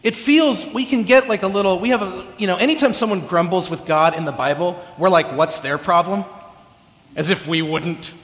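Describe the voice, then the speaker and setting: A man's voice: high voice, normal speed, fairly bright tone. A man from California giving a chatty, self-deprecating sermon with humor, often restarting his phrases, in a church sanctuary. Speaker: preacher. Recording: echoey hall reverb.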